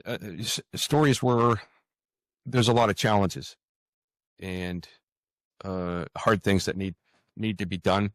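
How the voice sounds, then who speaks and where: A man with a low, deep voice speaks as a guest in a relaxed interview format. He is earnest and halting, often searching for his words. The sound is dry, with very little room around it. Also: no echo. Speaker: guest author. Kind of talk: conversational interview answers.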